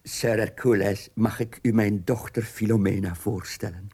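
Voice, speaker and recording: A man's voice: fairly low pitch, steady tone, normal speed, mellow, breathy edge. An actor playing a courteous, effusive Venetian count and father, gracious and eager to please, in a radio drama.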